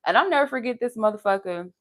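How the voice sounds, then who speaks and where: A woman's voice: a little high, lively intonation, fast, fairly bright tone. A young adult woman with a soothing, pleasant voice, chatting casually and candidly with profanity and laughter on a live stream as a tarot reader. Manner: laughs while talking.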